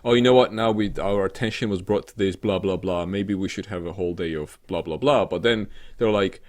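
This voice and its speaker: A man co-hosting a casual, sweary comedic news chat in a halting, rambling, sarcastic way. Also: deep voice. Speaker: co-host of a weekly games show.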